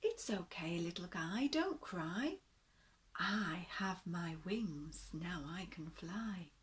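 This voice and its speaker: A kind little storybook fairy girl, acted by a woman narrator, speaks with tender, earnest reassurance. The pace is slow and there is faint background noise. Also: lowish voice, lively intonation. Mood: soothing.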